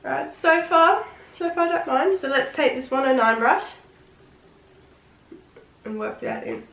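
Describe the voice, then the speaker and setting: A woman with a head cold, sounding nasal and sniffly, chatting excitedly through a casual makeup tutorial. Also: high voice, unhurried. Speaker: beauty vlogger. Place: home room. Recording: roomy, echoey room.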